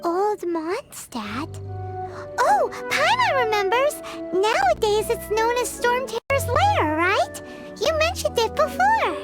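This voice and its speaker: A woman voicing a tiny, childlike, excitable fairy companion in a video game, squeaky and nosy. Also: slow pace, loud music behind the voice, heavily acted. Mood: curious, excited. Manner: talks about herself in the third person. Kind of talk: video game character acting.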